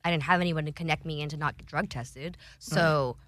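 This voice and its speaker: A young woman guest on a casual podcast, telling candid stories in an animated way, swearing freely and often laughing. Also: low-pitched, a little fast.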